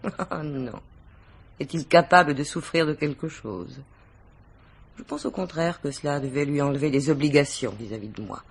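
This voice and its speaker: An actress playing a worldly, composed middle-aged married mistress questioned by police in a French radio mystery, with measured, formal diction. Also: female voice, deep voice, slightly rough. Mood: defiant, weary.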